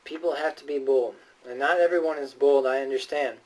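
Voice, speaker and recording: A man's voice: medium pitch, fairly quick. Distant-miked man giving an earnest speech. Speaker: speaker giving a prepared speech. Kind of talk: persuasive church-themed speech, sermon-like. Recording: voice distant from the microphone.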